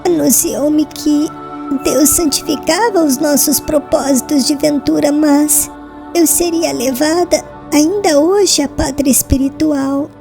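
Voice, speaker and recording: A woman acts out a serene young woman on her deathbed in a solemn audiobook drama. Her voice is high and soft-edged, with little creak. She speaks very slowly and haltingly, tender and devout, as if spending her last breath, over faint music. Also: exaggerated voice. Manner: slow, halting, breathless last-words delivery.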